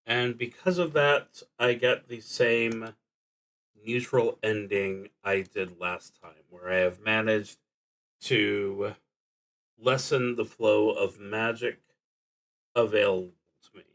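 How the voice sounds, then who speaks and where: Slow, halting man narrating a solo game. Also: fairly low pitch, a bit bright, low-quality recording. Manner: halting, with frequent pauses mid-sentence. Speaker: solo tabletop roleplaying streamer. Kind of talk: casual narration of a solo tabletop game, thinking aloud.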